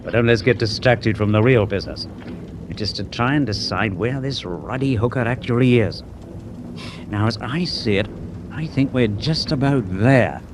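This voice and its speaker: A man playing a confident, practical British seafaring officer in a radio war drama, brisk and reasoning. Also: medium pitch, noisy background, lightly acted. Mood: brisk, intent. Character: confident British naval man, practical, level-headed leader.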